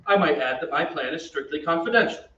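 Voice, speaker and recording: A man's voice: on the high side, fast talker, a bit bright, natural voice. A man playing a self-assured, earnest opera singer and suitor in an old-time radio drama, polite and confident. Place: theatre stage. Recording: echoey stage, distant, dull, noisy.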